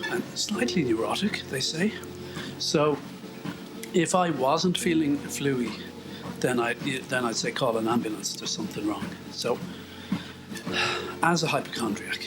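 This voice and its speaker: A man's voice: medium pitch, low-quality recording. A man rambling to the camera while walking on a treadmill, a bit out of breath, wry and self-mocking. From Ireland. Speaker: vlogger. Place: indoors at home, on a treadmill.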